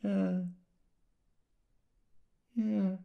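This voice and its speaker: A man's voice: high voice, flat delivery, extremely slow, creaky, muffled recording, exaggerated voice. A man playing a busy, jargon-heavy corporate consultant on a one-sided call in an audio drama, businesslike but hesitant.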